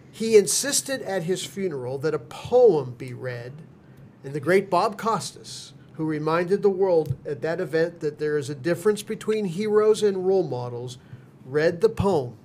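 An older man in his sixties reads a scripted tribute as a podcast co-host. His voice sits fairly high, picked up on a studio mic with faint noise behind it. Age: 60s. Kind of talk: scripted storytelling narration read aloud. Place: small podcast studio with large windows. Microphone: close studio mic with headset.

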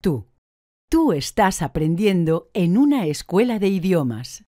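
Slow, mellow woman answering drills. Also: fairly low pitch, sing-song, dry recording, clean studio sound. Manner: slow, deliberate, clearly articulated answers. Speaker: language course speaker.

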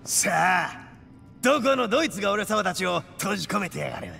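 High-pitched gravelly male oni gang boss, cocky, hot-blooded, rough delinquent talk, anime game, music behind. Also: big pitch swings, brisk, full character voice. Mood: fired up, defiant. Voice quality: gravelly, forceful. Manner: rough, boastful delinquent speech. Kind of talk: anime-style game voice acting.

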